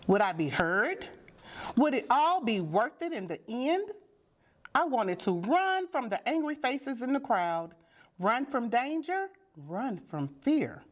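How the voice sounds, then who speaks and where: A woman reading a children's storybook aloud with deliberate, dramatic and expressive phrasing. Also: fairly high pitch. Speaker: storybook reader.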